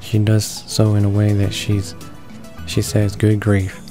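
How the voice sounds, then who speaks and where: Low, flat-voiced man reading along. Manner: halting, stumbling over words. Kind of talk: reading visual novel text aloud. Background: game music.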